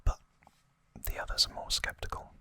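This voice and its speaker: An ASMR host narrating in a breathy, unvoiced whisper, slow and unhurried, close to the microphone. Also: no echo. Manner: slow, unhurried, gently repeats words for effect. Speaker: host of an ASMR trivia channel. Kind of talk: whispered ASMR narration, summarizing an anime saga.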